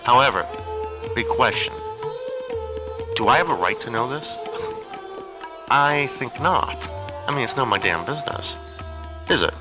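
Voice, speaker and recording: A man's voice: medium pitch, wildly swooping pitch, a little slow, long pauses, music in the background. A man giving an informal, rambling personal monologue with a hesitant, digressive and wry way of talking. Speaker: storyteller on an audio zine.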